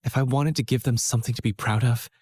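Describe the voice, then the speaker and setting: An actor playing an earnest, self-doubting young college student who narrates his own story in a scripted animated game film. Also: mid-range voice, quick pace, breathy edge, no echo, clean studio sound, natural voice. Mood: reflective, downcast. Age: young adult. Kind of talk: scripted first-person narration.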